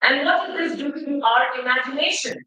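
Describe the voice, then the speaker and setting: A middle-aged woman from Bengal with an Indian English accent, giving a measured, rhetorical seminar lecture with slides. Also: sits high, fairly even intonation, pushed and bright, a little hiss or hum. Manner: measured, rhetorical, asks the audience questions. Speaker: seminar speaker. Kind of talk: academic lecture presentation with slides. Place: seminar hall. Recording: echoey hall, mic at a distance.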